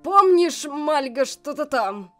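A woman's voice: high-pitched, animated, slow pace, pushed and bright, soft background music, exaggerated voice. A woman voicing a brash, blunt female fish-monster warrior in a cartoon comic dub. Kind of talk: fan comic dub acting.

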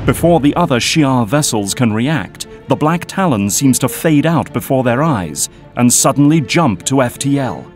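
A man's voice: mid-range voice, lively intonation, moderate pace, hardly any pauses, soft background music. A man narrating an action audio drama in a scripted, dramatic style.

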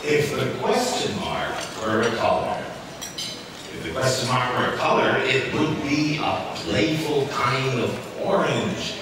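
A man's voice: mid-range voice, big pitch swings, slow pace. A middle-aged man giving a theatrical, playful poetry recital to a hall as a reader at an awards ceremony. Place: large dark auditorium at an awards event. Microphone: lectern microphone heard through far camera. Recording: echoey hall from far off, noisy.

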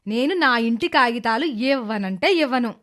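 A woman playing a cruel, scheming middle-aged stepmother in an animated moral story, scornful and sarcastic. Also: on the high side, normal speed. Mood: angry, defiant.

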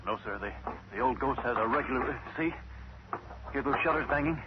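A man's voice: mid-range voice, brisk, close to an everyday voice. An actor playing an uneasy small-town man showing off a haunted house in a 1950s radio drama. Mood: eerie, earnest. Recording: very noisy, muffled old radio recording.

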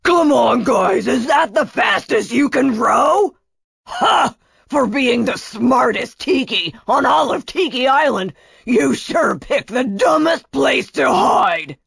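Bossy, hot-tempered cartoonish Tiki chief performed by a woman, shouty and taunting, widely swinging pitch. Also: expressive, slow pace, vocal fry, husky, no room sound, exaggerated voice. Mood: gloating, taunting. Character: bossy, loud tyrant male Tiki chief, easily enraged.

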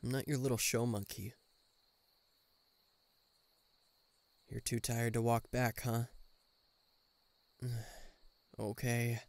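A man's voice: medium pitch, flat delivery, measured pace, creaky, darkish tone, dry recording. A man playing a guarded government bodyguard in an intimate listener roleplay, dryly teasing and reluctantly tender. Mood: resigned, caring. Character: gruff, guarded male government bodyguard, secretly fond.

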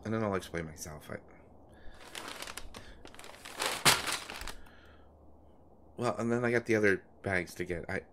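A man acting a low-voiced, stammering, nervous guy. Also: a bit bright. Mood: sheepish, flustered. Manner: halting, stammering, flustered. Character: nervous, eager-to-please young man caring for pregnant partner. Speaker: audio roleplay performer. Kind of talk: intimate one-sided audio roleplay drama.

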